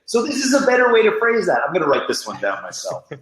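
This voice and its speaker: A man's voice: sits high, wildly swooping pitch, quick pace, a bit bright. A man hosting a casual podcast interview and putting questions to guests. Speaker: podcast host. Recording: very echoey room.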